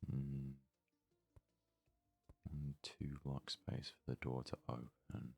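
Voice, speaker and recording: A man giving soft-spoken ASMR game commentary, murmuring his thoughts aloud in a breathy voice that often slips into a whisper. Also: extremely low pitch, level tone, soft background music. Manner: murmured, unhurried thinking aloud. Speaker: let's play host.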